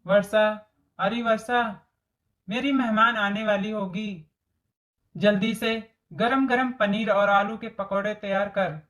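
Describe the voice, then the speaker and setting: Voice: mid-range voice, steady tone, takes it slowly, a bit bright. A voice actor playing a scheming, greedy middle-aged mother-in-law in a story cartoon, with exaggerated storybook delivery. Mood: bossy, demanding. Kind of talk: Hindi moral story cartoon dialogue.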